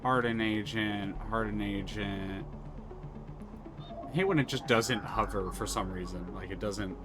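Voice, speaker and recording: A man with a lowish voice streams a story game, reading the narration and musing over his choices. He stops and restarts mid-sentence as he thinks, and faint music plays underneath. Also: a little slow. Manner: thinks aloud, restarts often.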